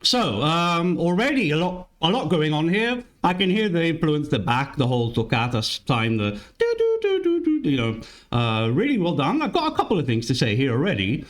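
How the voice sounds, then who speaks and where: A man's voice: on the high side, wildly swooping pitch, a little fast, hardly any pauses. A man whose first language is Italian hosts a music reaction channel, giving casual, musing commentary that often restarts mid-sentence. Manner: chatty and musing, with frequent restarts.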